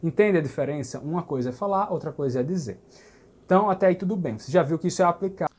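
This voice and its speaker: A man's voice: on the high side, expressive, fast, darkish tone. A man teaching a lesson, explaining patiently and checking understanding as he goes. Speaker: language teacher. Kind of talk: recorded language lesson.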